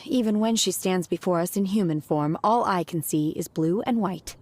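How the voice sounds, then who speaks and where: A woman voicing a calm, reserved young disciple in a game, who speaks in a composed, plain way. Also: on the low side, moderate pace, a little hiss or hum, natural voice. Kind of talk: game voice acting.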